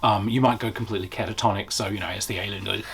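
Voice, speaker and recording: A man reviewing a tabletop game in a casual, unscripted way, animated and chatty as he explains. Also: fairly low pitch, racing.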